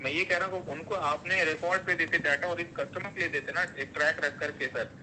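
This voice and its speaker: A fairly high-voiced man speaks as a customer giving feedback on a phone call. He is earnest and deferential, and the recording is clearly noisy with a low rumble. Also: steady tone, brisk, sharp tone. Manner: deferential, says sir often, earnest. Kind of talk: phone conversation.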